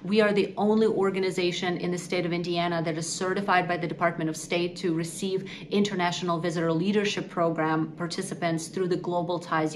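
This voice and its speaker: A woman, speaking earnestly and articulately to camera in a prepared interview. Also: lowish voice. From Ukraine. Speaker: nonprofit executive. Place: indoor office against a wall.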